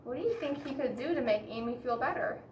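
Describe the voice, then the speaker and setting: Higher-voiced woman reading a storybook, roomy echo. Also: animated, quick pace. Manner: asks the listeners questions and explains gently. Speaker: children's story reader. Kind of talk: expressive picture-book read-aloud with asides to young listeners. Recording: roomy echo, distant mic, background hiss.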